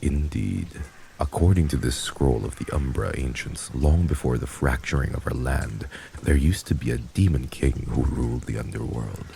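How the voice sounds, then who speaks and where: Extremely low male voice, dark and creaky, cold vampire boss, pompous archaic commands, menacing, fantasy audio drama, some background noise. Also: moderate pace. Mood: cold, commanding. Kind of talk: scripted fantasy audio roleplay.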